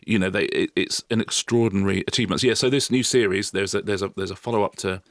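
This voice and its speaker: Man, middle-aged, very low voice, enthusiastic rambling chat. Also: fast, no room sound. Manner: enthusiastic, rambling with many restarts. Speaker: podcast co-host. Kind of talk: casual chat.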